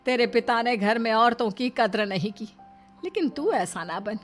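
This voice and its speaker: A woman voicing a meek, long-suffering older mother-in-law in a cartoon, speaking softly and pleadingly over faint background music. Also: on the high side, expressive, normal speed, lightly acted. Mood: gentle. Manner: soft and pleading. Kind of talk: cartoon dubbing.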